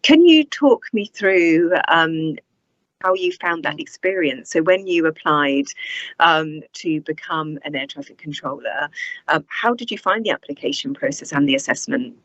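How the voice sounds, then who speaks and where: A woman's voice: low-pitched, animated, moderate pace, no echo. A middle-aged woman hosting an interview over a video call, asking questions in a conversational style. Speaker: interview host. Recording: dry, slightly dull video-call audio.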